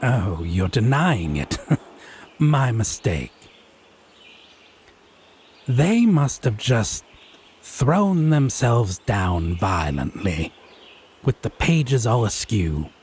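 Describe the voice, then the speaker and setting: A man's voice: on the low side, very animated, extremely slow, pauses often, darkish tone, a little hiss or hum, heavily acted. A man playing a smug, tipsy male fae who teases his lover with mocking, sardonic condescension in a theatrical audio roleplay. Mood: sarcastic. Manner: drawling, mocking, sardonic, pet names. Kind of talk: slow theatrical audio roleplay monologue addressed to listener.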